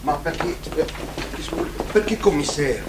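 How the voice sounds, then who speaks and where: An actor playing an excitable Italian grocer with an Italian accent, flustered and halting in his phrasing, in a radio drama. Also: a little high, lightly acted. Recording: faintly noisy 1980s radio recording.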